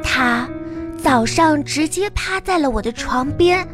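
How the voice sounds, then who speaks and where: A woman's voice: animated, takes it slowly. A woman playing a cheeky, whiny little schoolgirl with innocent earnestness in a radio comedy sketch. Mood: innocent, earnest. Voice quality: high childish voice. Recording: music behind the voice.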